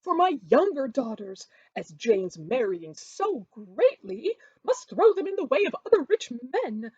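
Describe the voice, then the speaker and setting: A woman's voice: high-pitched, big pitch swings, a little slow, a little mellow, husky, dry recording, dull audio, voice pushed up, full character voice. A woman voicing a gushing, boastful middle-aged mother in a Regency storybook, effusive and exclaiming, with stretched, emphatic words.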